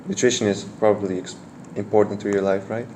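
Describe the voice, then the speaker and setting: Guy asking interview questions, lowish voice, halting, faint noise behind. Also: fairly even intonation. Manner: friendly, halting, searching for words. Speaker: interviewer, student. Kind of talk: casual interview conversation.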